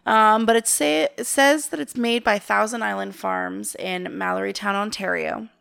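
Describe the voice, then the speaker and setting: A woman chats as a podcast co-host, her voice hoarse and raspy from a cold. Heavy vocal fry and a gravelly edge run through every phrase. She talks in a relaxed, slightly halting way, with a few fillers. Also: mid-range voice. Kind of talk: casual chat.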